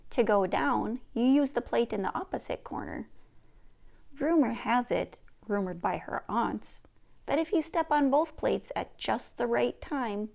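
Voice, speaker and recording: Woman, children's author, storybook narration, higher-pitched voice. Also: vocal fry, a little mellow. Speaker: children's author reading own book. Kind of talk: storybook read-aloud narration.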